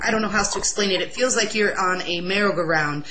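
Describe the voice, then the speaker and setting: A young woman with a loud voice, sharing an earnest, excitable personal religious testimony in an interview. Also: medium pitch, quick pace, background noise.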